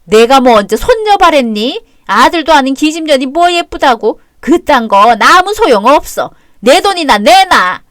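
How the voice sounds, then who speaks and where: A woman's voice: sits high, slow pace, hardly any pauses, voice pushed up. A woman voicing an abusive, greedy older mother-in-law who screeches insults in an audio drama. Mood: vicious. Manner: screeching, insulting, whining when cornered. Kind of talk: Korean audio drama acting.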